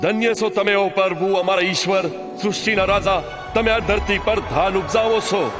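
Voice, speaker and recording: A man with a Kathiyawadi Gujarati accent playing Jesus as a calm, authoritative teacher in an audio drama, speaking in a measured, earnest way. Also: high-pitched, music in the background, close to an everyday voice. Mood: reverent prayer. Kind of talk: audio drama acting, preaching.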